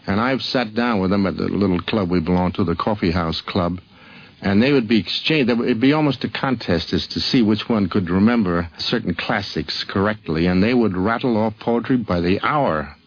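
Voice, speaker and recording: A man sharing anecdotal memories as an interviewee in an old radio documentary. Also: lowish voice. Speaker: interviewee recalling a friend. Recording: muffled 1950s radio recording.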